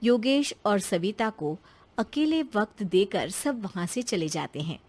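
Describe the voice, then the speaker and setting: A woman's voice: medium pitch, animated, measured pace, darkish tone. A woman narrator telling a scripted moral story.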